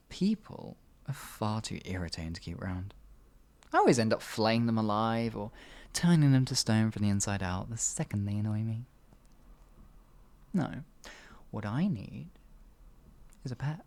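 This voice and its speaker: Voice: mid-range voice, a little mellow, no echo. A performer voicing an evil, sadistic sorcerer in an ASMR fantasy roleplay, theatrical and condescending, stretching words and slipping into menacing whispers. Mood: musing, haughty. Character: evil, sadistic, all-powerful sorcerer, gloating and cruel.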